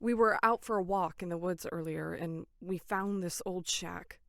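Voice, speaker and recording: A woman's voice: fairly low pitch, moderate pace, gravelly, no room sound, studio quality. A woman playing an earnest, serious young female camp counselor in a horror audio drama, polite but insistent.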